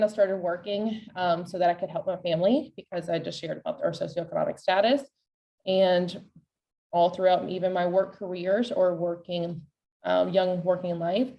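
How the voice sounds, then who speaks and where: American woman, slightly muffled, telling her story. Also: lowish voice. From Roanoke, Virginia, United States. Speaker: panel speaker. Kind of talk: personal storytelling presentation. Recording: slightly distant, muffled microphone.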